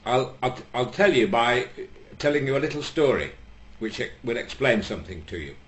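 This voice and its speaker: A man's voice: medium pitch, animated, normal speed, fairly bright tone, lightly acted. A man voicing a wise older rabbi who gives advice through a little story. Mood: wry.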